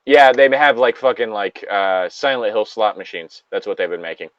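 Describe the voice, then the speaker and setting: A man's voice: on the low side, expressive, moderate pace, no room sound, dull audio. A man chatting casually with friends on a voice call, rambling and swearing freely as he goes.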